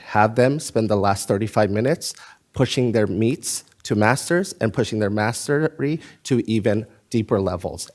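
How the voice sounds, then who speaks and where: A man's voice: on the low side, darkish tone. A man, a school district administrator, explaining instructional plans to a board meeting in an emphatic, explanatory way. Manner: explanatory, emphatic, insists on finishing.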